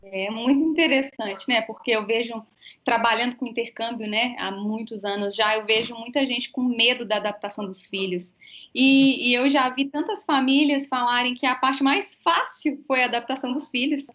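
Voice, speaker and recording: A woman's voice: fairly high pitch, normal speed, fairly bright tone. Chatty woman host on a lo-fi call. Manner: chatty, frequent tag questions. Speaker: interview host, works in student exchange. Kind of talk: casual interview. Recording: dull lo-fi call audio.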